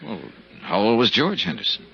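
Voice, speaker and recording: An actor playing a cool, hard-boiled insurance investigator in a 1950s radio drama, terse and determined. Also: male voice, mid-range voice, big pitch swings, moderate pace, bright, forceful tone, slight background noise. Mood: curt, suspicious. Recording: dull 1950s radio recording.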